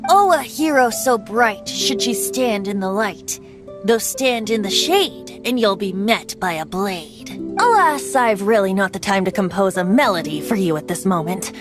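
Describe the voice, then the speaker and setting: A woman's voice: medium pitch, lively intonation, measured pace, lightly acted. A woman voicing a youthful, boyish male bard in a video game, whimsical and theatrical, with a playful, wistful lilt. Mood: lilting recital, then wistful, courteous. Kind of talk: video game character acting. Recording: music behind the voice.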